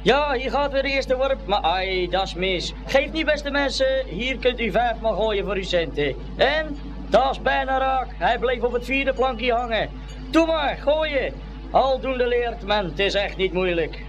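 A man's voice: extremely high pitch, animated, measured pace, dull audio, faint music behind. A man playing a brash fairground barker at a ring-toss stall, calling out sales patter in a Dutch radio play. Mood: brash, coaxing.

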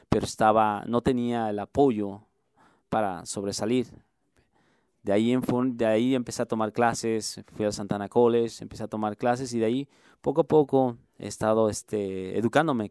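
A man from Mexico City with a Mexico City Spanish accent, a musician and music instructor answering radio interview questions in an earnest, halting way, often circling back to rephrase. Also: medium pitch, a little mellow, clear, resonant tone, dry recording, studio quality.

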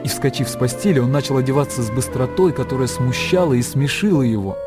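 Мужчина читает литературное повествование от автора в радиоспектакле. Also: male voice, mid-range voice, fast talker, over loud music. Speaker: narrator. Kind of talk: scripted literary narration in radio drama.

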